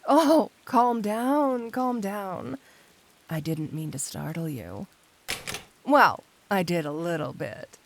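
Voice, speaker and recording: A woman's voice: on the low side, lively intonation, creaky, a little hiss or hum, heavily acted. A woman playing a flirty, teasing supervillain cat burglar in an intimate ASMR roleplay, speaking coyly to the listener. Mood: playful, flirty. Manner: coy, teasing, intimate, unhurried.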